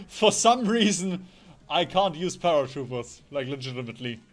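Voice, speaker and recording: A man's voice: a little high, measured pace. A man doing casual gaming stream commentary in a rambling, jokey way, swearing casually. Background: background music.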